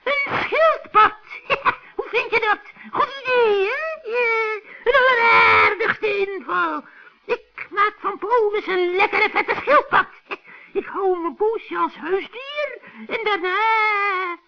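A man puts on a wicked old witch for a children's radio tale, extremely high and creaky. She draws out her words slowly, gloating and cackling as she schemes. The old recording sounds dull. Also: full character voice. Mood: gleeful, scheming. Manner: gloating, drawn-out self-talk.

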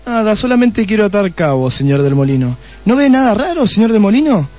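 An actor playing a shrewd, testy police detective in a radio play, with an Argentine accent and a deliberate way of questioning. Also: male voice, sits high, sing-song, a little mellow. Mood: probing, insinuating. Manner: deliberate, repeats answers back pointedly. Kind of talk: Spanish-language radio play acting.

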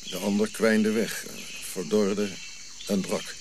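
An old prince in exile, dignified and weary. His low voice moves in measured, solemn phrases, as in a radio play. Clear nature noise of crickets and birds sits behind him. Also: little pitch movement. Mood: solemn storytelling. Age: older.

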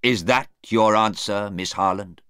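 A man playing a sarcastic, relentless prosecuting lawyer in an audiobook, deliberate and insistent. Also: lowish voice, fairly bright tone, smooth, no room sound, clean studio sound, pitched above the performer's own voice. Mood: cold, insistent. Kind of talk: audiobook character voice.

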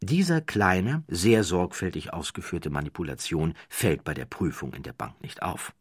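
A man narrating a scripted audiobook story in a measured way with dramatic emphasis. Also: sits low, expressive, husky, no room sound, studio quality.

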